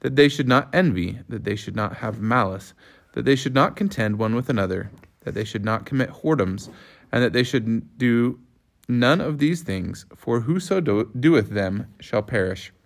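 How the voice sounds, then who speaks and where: Creaky-voiced man reading scripture. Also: medium pitch, expressive, normal speed. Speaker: family member reading scripture aloud. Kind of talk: scripture read-aloud.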